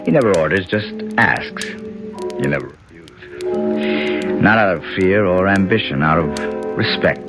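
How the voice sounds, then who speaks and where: Loyal confidant, extremely low voice, measured, confiding, radio drama, loud music behind. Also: male voice, expressive. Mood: confiding, grave. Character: devoted, loyal male aide to a senator. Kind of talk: 1970s radio drama first-person narration. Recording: old radio recording under loud music.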